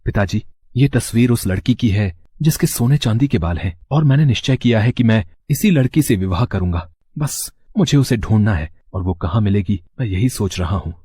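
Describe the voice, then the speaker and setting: A man's voice: fairly low pitch, expressive, moderate pace, warm tone, slightly rough, no echo. A man voicing a lovestruck, determined young prince in a fairy tale, formal and resolute.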